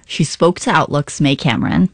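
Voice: mid-range voice, moderate pace, gravelly, dry recording. A radio presenter giving a scripted programme introduction from a studio.

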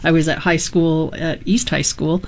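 A woman's voice: sits low, fairly even intonation, normal speed, a little mellow. A woman in her fifties, a panel guest, telling an anecdote in a casual, conversational style. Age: 50s.

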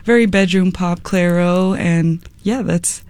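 A woman's voice: fairly low pitch, a little slow, darkish tone, no room sound. Young woman, American accent, musician guest, casual giggly chat, dry close sound. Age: young adult. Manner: giggly, informal, frequent "like". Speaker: musician guest, singer and rapper.

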